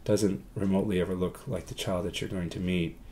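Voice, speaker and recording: A man in his forties reflects in a documentary interview, pausing and searching for words. His voice is low and dark, with a heavy creak. There is slight noise in the room behind him. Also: fairly even intonation. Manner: halting, hesitant delivery with long pauses. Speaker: adoptive parent, interviewee. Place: room at home, indoors.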